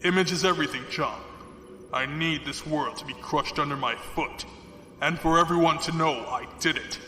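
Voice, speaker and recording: A man's voice: on the high side, normal speed, sharp tone, a little hiss or hum. A man playing a courtly, condescending, ruthless fleet commodore villain in an audio drama, speaking formally. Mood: haughty. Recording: echoey hall reverb, music behind.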